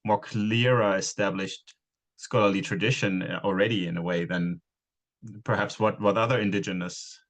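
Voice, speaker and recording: Super low gravelly guy talking on a seminar call, slow and careful. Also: steady tone. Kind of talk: academic discussion on a video call. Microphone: video call.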